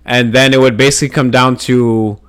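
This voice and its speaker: Man, casual podcast co-host, mid-range voice, profane and animated storytelling. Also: mid-range voice, fairly quick, clear, resonant tone. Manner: animated, swears a lot, acts out scenes in loud put-on voices. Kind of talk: casual chat, hypothetical storytelling.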